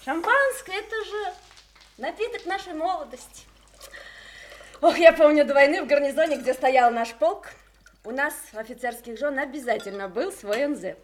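A high-pitched middle-aged woman played by an actress, an army officer's wife from a Soviet radio play. She is cheerful and sentimental, with an expressive, wistful way of reminiscing. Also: normal speed, natural voice. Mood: fond reminiscence.

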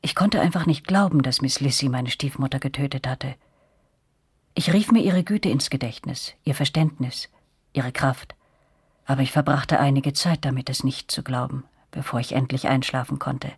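Eine Schauspielerin spricht die nachdenkliche Ich-Erzählerin eines Hörspiels, gemessen und erzählend. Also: female voice, rumbling low, slightly rough, no room sound, heavily acted. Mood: pensive. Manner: measured, reflective storytelling. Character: reflective woman narrator recalling her troubled girlhood. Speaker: first-person narrator. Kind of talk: scripted radio drama narration. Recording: dry studio with music underneath.

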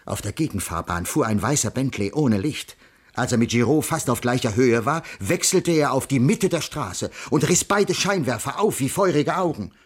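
An actor playing a pedantic, earnest middle-aged ethics teacher with formal, stilted diction in a radio crime play. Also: male voice, medium pitch, animated, moderate pace, slightly rough. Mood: dramatic, urgent. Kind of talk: 1980s German radio crime play acting.

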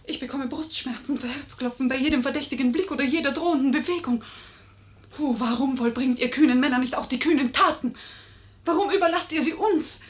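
Casting: woman playing elegant, crafty mystery lady, fairly high voice, theatrical pleading, vintage radio drama. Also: fairly quick, lightly acted. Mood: impassioned pleading. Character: elegant, cunning mysterious lady, feigning helpless fear. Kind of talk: 1950s German radio play acting.

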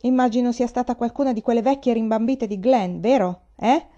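A woman voicing a big, hot-tempered, bullying older man in an audiobook, booming and firing off blunt questions. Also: fairly even intonation, fairly quick, dark tone, dull audio, natural voice. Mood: furious. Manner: blustering, interrogating, exclamatory. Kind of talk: audiobook character voice.

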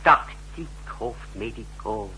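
A impatient secret-police tactician in a 1960s radio play. He is condescending and sharp, with an extremely rough, higher-pitched voice and animated delivery. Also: slow, exaggerated voice, rough recording. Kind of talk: 1960s radio drama acting.

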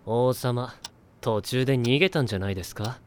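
A man's voice: mid-range voice, brisk, smooth, lightly acted. A man voicing an aloof, sarcastic teenage boy with a dry, curt, mocking delivery in an anime audio drama. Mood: snide.

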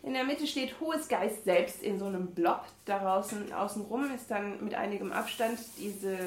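A woman with a German regional dialect co-hosting a casual talk show, reading a book aloud with halting, amused asides. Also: medium pitch. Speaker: podcast co-host reading from a book. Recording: echoey room with faint noise.

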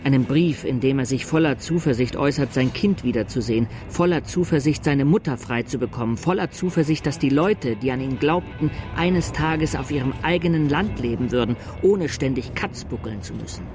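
A grave male chronicler voiced by an actor narrates a radio drama. He speaks dramatically in a middle-pitched voice. Music runs clearly underneath. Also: animated, normal speed, hardly any pauses, darkish tone, lightly acted. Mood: grave, dramatic. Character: grave male chronicler of an outlaw's last battle. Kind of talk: scripted third-person radio drama narration.

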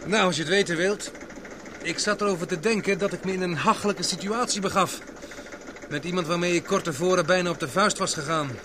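A high-pitched male adventurer in a radio play, played by an actor, earnest and practical. He explains eagerly, over a clearly noisy rumbling background. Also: brisk, close to an everyday voice. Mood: thoughtful. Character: Paul, earnest, practical adventurer, improvising a bold plan. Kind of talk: radio drama acting.

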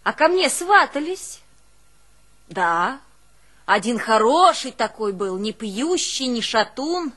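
A devoted, long-suffering village woman speaks. Her pace is very slow, and her delivery is plaintive and folksy, like a storyteller in a one-woman stage drama. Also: on the high side, big pitch swings, fairly bright tone, exaggerated voice. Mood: agitated, reminiscing. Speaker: actress in a one-woman show. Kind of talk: theatrical monologue with drawn-out folk singing.

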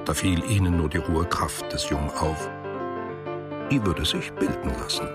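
Low-voiced man narrating literary radio drama. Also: normal speed, over loud music.

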